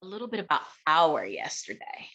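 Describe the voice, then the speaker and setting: A middle-aged American woman delivering an impassioned keynote talk in an emphatic, deliberate way, with rhetorical pauses and repetition. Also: low voice, sing-song, pushed and bright. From United States. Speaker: keynote speaker and educator.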